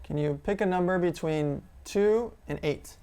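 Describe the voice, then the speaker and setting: A man's voice: sits high, measured pace, dark tone, clean tone. A male host asks direct questions in a live online interview.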